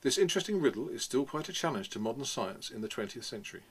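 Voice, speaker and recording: A man's voice: medium pitch, very animated, fast, husky. A man voicing a sarcastic old male history teacher, lecturing and mocking, raising his voice for the part. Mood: mocking reading.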